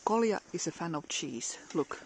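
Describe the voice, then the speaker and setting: A woman's voice: on the low side, animated, moderate pace, darkish tone, slightly rough, dry recording, poor audio. A middle-aged woman live-streaming outdoors in a snowy forest, chatting casually with viewers and laughing often.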